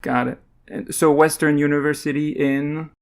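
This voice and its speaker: A man hosting a podcast interview, asking questions in a relaxed, lightly teasing way. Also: a little high, moderate pace, gravelly. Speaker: podcast host.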